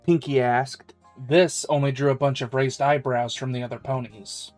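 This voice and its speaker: Male narrator, mid-pitched, scripted storytelling with music underneath. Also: level tone, moderate pace, a little mellow, no echo.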